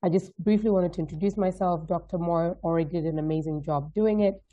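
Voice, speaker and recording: A woman's voice: on the low side, brisk, dark tone. A woman in her thirties from Denmark, giving an upbeat, direct webinar talk and often checking in with "right?". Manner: upbeat, direct, frequent tag questions. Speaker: guest speaker. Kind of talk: webinar presentation. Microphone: video call. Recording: dull lo-fi call audio.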